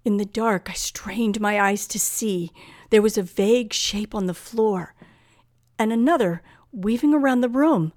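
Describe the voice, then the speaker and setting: A woman's voice: mid-range voice, unhurried, darkish tone, natural voice. An actress playing a terrified young woman recounting a night of terror in an old-time radio thriller, with breathless, dramatic phrasing. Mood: hushed dread. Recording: vintage radio recording with music behind.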